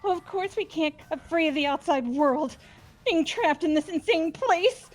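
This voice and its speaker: A woman voicing a distraught, pleading high-school girl trapped in a deadly game, in a fan-voiced playthrough. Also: moderate pace, soft background music. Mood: upset. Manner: pleading, upset.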